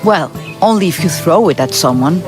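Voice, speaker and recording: An actress playing an earnest, coaxing temple priestess of ancient Greece in a video game. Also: female voice, on the low side, animated, moderate pace, over music, lightly acted. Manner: earnest, storytelling. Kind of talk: video game cutscene acting.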